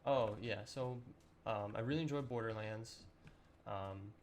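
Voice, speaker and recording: A young man with a slightly raspy, sore voice, chatting casually and rambling through a let's-play with lots of ums. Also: lowish voice, unhurried, a little hiss or hum. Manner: relaxed, rambling with many ums and throat clearing. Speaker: gaming channel host.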